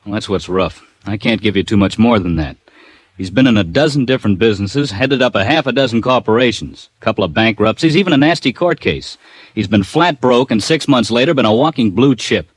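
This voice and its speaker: Low-voiced, harried American insurance executive. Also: male voice, lively intonation, a little fast, no room sound. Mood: worried, pressing. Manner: fretful, emphatic. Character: worried, harried male insurance executive, blunt and businesslike. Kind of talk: 1950s radio drama acting.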